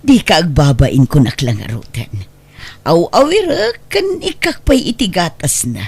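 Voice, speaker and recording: A woman with an Ilocano Filipino accent playing an outspoken but generous madam boss in an audio drama, with a husky voice and a brisk manner. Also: lowish voice, very animated, no room sound, full character voice. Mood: insistent.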